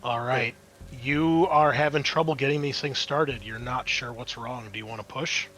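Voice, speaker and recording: A man's voice: mid-range voice, steady tone, moderate pace, fairly bright tone, rough recording. A man acting as game master, narrating a tabletop roleplaying game in a casual, explanatory, conversational way. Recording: clear background noise.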